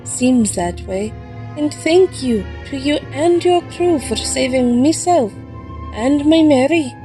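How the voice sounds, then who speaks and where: High, mellow plucky rabbit scientist played by a woman. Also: lively intonation, takes it slowly, faint music behind. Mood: fond, resolute. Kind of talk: audio drama acting.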